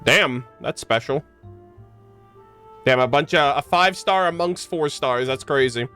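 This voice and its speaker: A man's voice: medium pitch, unhurried, pushed and bright, clean tone. A man streaming a game with casual, slangy, joking reactions. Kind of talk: casual live game commentary. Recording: game music behind the voice.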